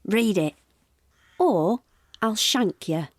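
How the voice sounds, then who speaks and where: A woman playing a gruff, defiant young thief among female penitents in a period audio drama, blunt and slangy. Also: mid-range voice, expressive, extremely slow, dry recording, clean studio sound. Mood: urgent, threatening. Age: young adult. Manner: blunt, surly.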